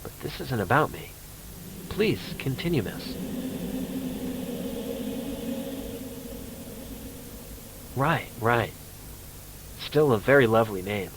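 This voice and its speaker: Noisy backdrop. Male actor as young lonely romantic for horror drama: mid-range, awkward, earnest, halting, self-pitying. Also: mid-range voice, expressive. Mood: shy, smitten. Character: lonely, awkward, lovestruck young man wooing a ghost. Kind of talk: dramatic monologue in an amateur horror production. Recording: clear background noise and ambience.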